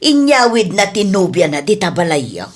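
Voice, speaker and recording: A woman's voice: mid-range voice, very animated, normal speed. A woman playing a nosy, disapproving middle-aged sister in a radio drama, gossipy and reproachful, with an Ilocano accent. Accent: Filipino (Ilocano). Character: nosy, disapproving sibling of the old father.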